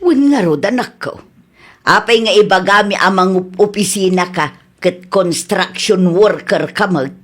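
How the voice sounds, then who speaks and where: Woman acting a skeptical scolding mom, raspy voice, comedy radio drama. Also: mid-range voice, a little slow, close to an everyday voice. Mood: doubtful. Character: doubtful, scolding mother of a grown son.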